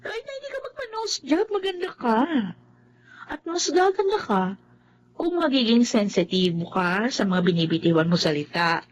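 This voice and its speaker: An actress playing a frank, kind young friend who keeps the peace, speaking earnestly with a Filipino accent in a TV drama. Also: female voice, fairly high pitch, lively intonation, moderate pace. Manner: earnest, gently admonishing.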